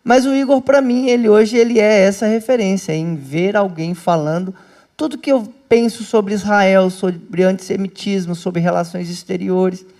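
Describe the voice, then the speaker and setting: A pastor talks to a small church gathering in a loose, animated way, often turning to the people in the room. His voice has a mellow, soft-edged tone. It sounds like an indoor meeting rather than a studio. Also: sits high, moderate pace. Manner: lively, chatty, addresses listeners as brothers and sisters. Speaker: pastor and host. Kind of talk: casual talk to a small church group.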